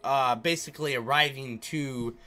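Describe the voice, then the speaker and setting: A man's voice: medium pitch, steady tone, moderate pace, pushed and bright. A man doing casual, chatty let's play commentary, speaking emphatically and repeating himself as he addresses his viewers. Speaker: gaming YouTuber.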